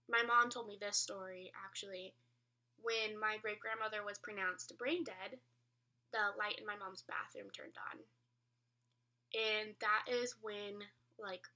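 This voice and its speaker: A woman's voice: mid-range voice, sharp tone, recorded from a distance. A young adult woman telling a personal story in a casual vlog style, rambling and correcting herself, with emphatic repetition. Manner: rambling, colloquial, self-correcting; emphatic, repeats phrases for effect. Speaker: vlogger.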